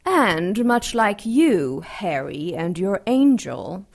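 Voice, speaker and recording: Slow, seductive dark angel. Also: female voice, mid-range voice, very animated, heavily acted. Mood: vain, amused. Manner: purring, teasing, theatrical, savoring her words. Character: seductive, vain ancient dark angel woman, amused and menacing. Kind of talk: audio drama acting.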